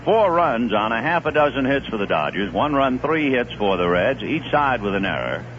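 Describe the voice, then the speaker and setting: A man calling a baseball game live on the radio, swinging from calm analysis between pitches to rising excitement on big plays, in a 1970s broadcast. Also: normal speed, dull audio, a little hiss or hum. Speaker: play-by-play announcer. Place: stadium broadcast booth.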